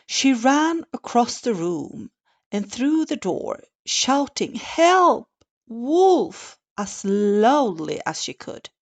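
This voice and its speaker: Slow, creaky-voiced woman reading a bedtime story. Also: a little high, big pitch swings.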